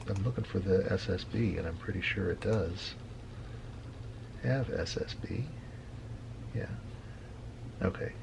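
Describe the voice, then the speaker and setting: A middle-aged man with a very deep voice talks through an informal tutorial. His delivery is gravelly and halting, as he thinks out loud while browsing. Faint noise sits behind him. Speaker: radio hobbyist presenting a tutorial. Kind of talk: unscripted casual explainer.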